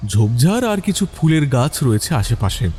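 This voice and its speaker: A man's voice: mid-range voice, very animated, normal speed, darkish tone, a little hiss or hum. A man reading a scripted dramatic story as its narrator.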